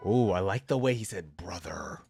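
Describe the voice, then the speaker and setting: A man's voice: low voice, lively intonation, normal speed, vocal fry, a little mellow, soft background music. A man hosting a reaction video, giving casual commentary.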